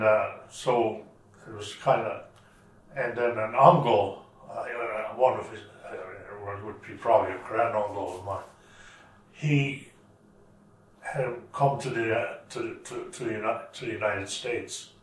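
A man in his eighties who grew up in Germany, slowly and haltingly recounting his early life in an oral history interview at home. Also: on the low side. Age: 80s. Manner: halting, searching for words. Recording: roomy, from far off.

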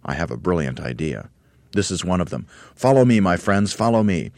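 A man voicing an excitable, bossy monkey leader in a storybook, shouting urgent commands. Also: extremely low pitch, brisk, no room sound. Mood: excited, commanding.

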